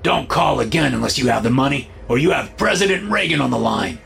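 A man's voice: mid-range voice, animated, a little fast, creaky, fairly bright tone, slight background noise, voice pushed up. A man voicing a volatile, mocking male hostage-taker in an audiobook, with a taunting, explosive and demanding manner. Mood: curt threat. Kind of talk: audiobook character acting.

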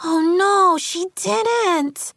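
Woman playing a sassy, fiery schoolgirl, pitched high. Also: slow pace, a bit bright, no room sound. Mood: outraged. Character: fiery, indignant, loyal schoolgirl friend.

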